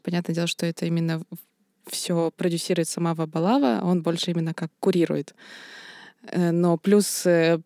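A woman's voice: on the low side, a little mellow, dry recording, studio quality. A woman theatre producer speaking candidly and thoughtfully in a casual podcast discussion, laughing now and then.

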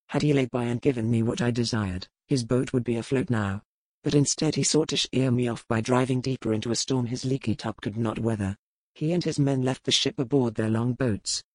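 A stern, brooding pirate captain, as a man reads him in measured, formal old-style speech. The tone stays cold and deliberate at a middling pitch. It is recorded close and dry, with no room sound at all. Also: darkish tone, no room sound, close to an everyday voice, poor audio. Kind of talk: audiobook character reading.

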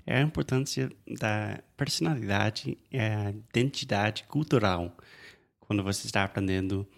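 Male podcast co-host, lowish pitch with plenty of fry, conversational teacher style. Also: moderate pace. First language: English. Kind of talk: casual bilingual podcast chat.

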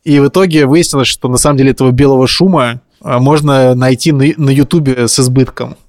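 A man's voice: mid-range voice, fairly even intonation, fast talker, darkish tone, dry recording, clean studio sound. A man co-hosting a casual podcast, telling stories in an informal, slangy way. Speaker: podcast co-host. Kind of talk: casual podcast chat, storytelling.

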